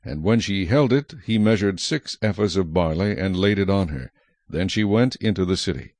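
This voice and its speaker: A man narrating scripture from a script in a measured, solemn manner. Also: low-pitched, animated, darkish tone, dry recording.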